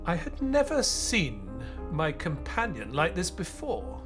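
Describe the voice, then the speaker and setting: A man acting an earnest doctor and loyal companion in an audio play, speaking in a measured, sometimes hesitant way. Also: on the high side, wildly swooping pitch, music in the background, exaggerated voice. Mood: reflective, sombre. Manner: measured, hesitant, stammering in dialogue. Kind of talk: audio play acting and first-person narration.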